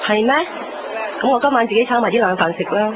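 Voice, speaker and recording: A woman's voice: fairly high pitch, quick pace, close to an everyday voice. An actress playing a nagging, self-pitying, stubborn middle-aged mother with a Hong Kong accent in a radio drama. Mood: hurt, reproachful. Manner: fussy, reproachful. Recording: dull radio recording, noisy street ambience. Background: busy crowd ambience.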